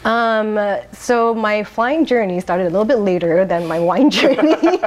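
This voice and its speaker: A woman from Singapore, talking through her life in a rambling oral history interview, laughing often and pausing on um's. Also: mid-range voice, animated. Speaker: interviewee, wine bar owner.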